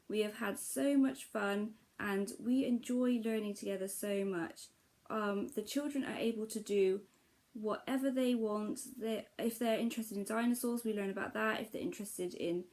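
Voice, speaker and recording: A woman's voice: mid-range voice, darkish tone. Chatty mother vlogging. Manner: chatty and explanatory, frequent ums. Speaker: homeschooling mother. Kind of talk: casual vlog talk to camera.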